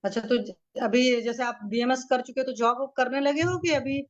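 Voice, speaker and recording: A woman's voice: sits high, normal speed, highs cut off. A woman doctor taking a patient's history over a video call, asking short checking questions and giving reassuring advice. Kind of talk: casual consultation questioning.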